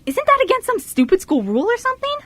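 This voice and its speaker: A woman's voice: extremely high pitch, huge pitch swings, quick pace, fairly bright tone, dry recording, pitched above the performer's own voice, heavily acted. A woman playing a bratty, snobbish, easily exasperated wealthy teenage girl in an amateur radio play. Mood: indignant.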